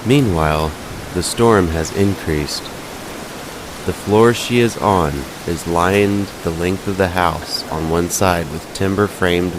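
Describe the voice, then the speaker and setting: A man reading scripted ghost stories as a narrator, in a measured, deliberate way with pauses. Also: low voice, noisy background.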